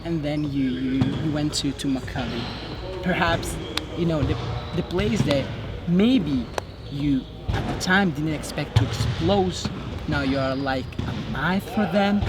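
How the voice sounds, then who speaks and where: A male interviewer who is not a native English speaker, asking casual sports questions in hesitant, halting phrases. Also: on the high side, heavy background noise. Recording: music playing over the voice.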